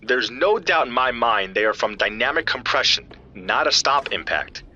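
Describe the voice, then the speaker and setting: Man acting bright, raspy, geeky scientist, animated. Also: medium pitch, moderate pace, highs cut off, heavily acted. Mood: assured, wry. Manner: precise, technical, lecturing yet familiar. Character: earnest, geeky male scientist explaining footprint evidence to a friend. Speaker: scientist analysing footprint casts. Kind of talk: audio drama dialogue, technical explaining.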